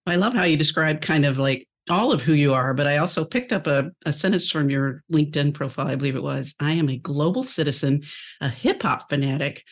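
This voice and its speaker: A woman's voice: low-pitched, expressive, fairly quick. A woman hosting a podcast, asking questions in an upbeat, friendly interviewing manner. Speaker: podcast host.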